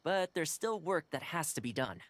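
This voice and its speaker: An actor playing a calm, reassuring young male envoy. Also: on the high side, normal speed, dry recording. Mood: concerned. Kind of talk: game cutscene dialogue.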